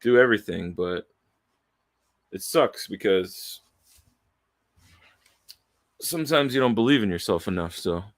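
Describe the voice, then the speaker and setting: A man in his late thirties rambling candidly into his home microphone, profane and wandering, with restarts and trailing pauses. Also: on the low side, lively intonation, no echo, clean studio sound. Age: 30s. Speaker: aspiring voiceover artist. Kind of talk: casual rambling monologue. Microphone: studio microphone, close and dry.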